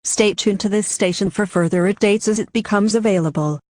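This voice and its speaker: A woman's voice: mid-range voice, fairly quick, darkish tone, no room sound, close to an everyday voice. A synthetic woman's voice as an emergency alert announcer, reading a scripted warning in a formal, impersonal manner.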